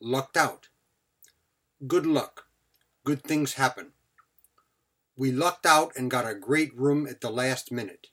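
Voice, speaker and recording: Slow-paced man teaching vocabulary in short phrases. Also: medium pitch, fairly bright tone. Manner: short, clipped phrases with example sentences. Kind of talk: scripted vocabulary lesson.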